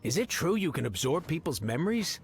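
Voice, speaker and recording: An actor playing a cute but guarded creature, giving wry warnings in a video game. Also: male voice, a little high, sing-song, brisk. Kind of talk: video game character dialogue.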